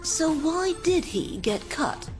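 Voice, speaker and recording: A woman's voice: sits high, sing-song, slow, faint music behind, exaggerated voice. A woman playing a composed, inquisitive TV interviewer in a video game cutscene.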